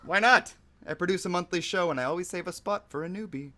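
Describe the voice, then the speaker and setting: An actor playing a cocky, easygoing magician who produces shows, in a conversational table read with a brash, teasing, persuasive way of talking. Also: male voice, high voice, fast, bright, forceful tone. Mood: breezy, persuasive.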